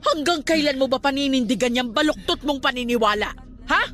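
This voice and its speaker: An actress playing a stern, superstitious middle-aged aunt in a radio drama, scolding and emphatic. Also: female voice, sits high, lively intonation, fairly quick, a bit bright, soft background music.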